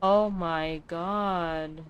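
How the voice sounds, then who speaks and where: A woman's voice: fairly low pitch, fairly even intonation, drawn-out words, lo-fi sound, slight background noise. Woman casually reacting to a game. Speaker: gameplay streamer.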